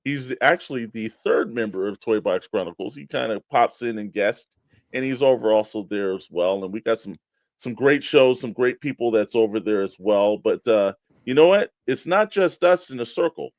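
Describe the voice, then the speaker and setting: A man's voice: medium pitch, animated, moderate pace, no echo. A man co-hosting a toy collectors' show, bantering casually and plugging programs with emphatic hype. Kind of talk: casual radio-style show banter and plugs.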